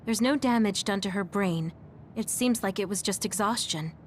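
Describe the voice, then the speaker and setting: A woman's voice: medium pitch, normal speed, a little hiss or hum, close to an everyday voice. A woman playing a practical, level-headed medic in an English sci-fi anime game dub. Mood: matter-of-fact. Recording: music behind the voice.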